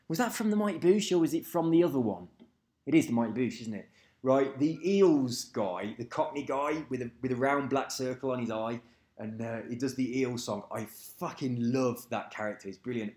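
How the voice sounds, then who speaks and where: A man's voice: mid-range voice, a little mellow. A man rambling casually to camera, swearing freely and losing track of names. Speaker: vlogger. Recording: echoey room.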